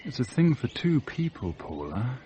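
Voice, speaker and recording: An actor playing an easygoing, tender young lover in a radio play, speaking in a relaxed, intimate way. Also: male voice, mid-range voice, moderate pace, slight background noise, lightly acted. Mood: tender, lazy. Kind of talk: British radio play acting.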